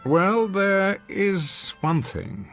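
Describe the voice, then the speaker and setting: Haughty, sardonic rich husband, wildly swooping delivery. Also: male voice, sits high, very animated, drawn-out words, fairly bright tone, faint music behind, heavily acted. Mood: clipped, insinuating. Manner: haughty, sardonic, formal diction. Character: sneering, domineering wealthy husband and employer, cruelly sarcastic. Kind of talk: classic radio drama acting.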